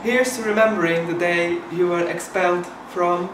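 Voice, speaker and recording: A man in his twenties chatting casually into a handheld camera in a student flat, giggly and self-mocking. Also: high voice, normal speed, noisy background. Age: 20s. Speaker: vlogger. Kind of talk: casual vlog chat. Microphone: handheld action camera. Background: background music.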